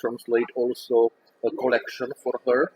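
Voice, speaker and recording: A man's voice: mid-range voice, completely flat delivery, moderate pace, a little mellow, rough recording. A man hosts a literary evening with formal courtesy. His speech is sprinkled with hesitant fillers, and his voice sits in an ordinary middle register. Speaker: host. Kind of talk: literary salon moderation.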